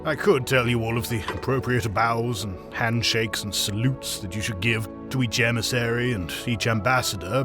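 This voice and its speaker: Guy playing a senior fantasy grandmaster, measured n instructive, dry courtesy, audiobook drama w music under it. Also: mid-range voice, fairly bright tone, close to an everyday voice. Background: background music.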